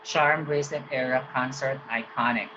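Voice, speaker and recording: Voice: medium pitch, fairly even intonation, normal speed, highs cut off, very noisy. A host casually reacting to a video and talking to viewers in a chatty, upbeat way.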